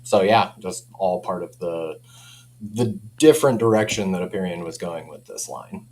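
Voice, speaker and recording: A man's voice: low-pitched, moderate pace, a little mellow, a little hiss or hum. A man speaking informally as a company representative in a casual product chat, often framing things as personal opinion. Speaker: audio company representative and interview guest.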